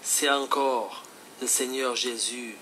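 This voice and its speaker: Slow, bright-voiced man acting as Jesus. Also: mid-range voice, steady tone, slight background noise, low-quality recording. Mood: solemn, instructive. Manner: slow, solemn, instructive. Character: Jesus speaking by telephone, calm fatherly divine teacher. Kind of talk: scripted religious phone dialogue.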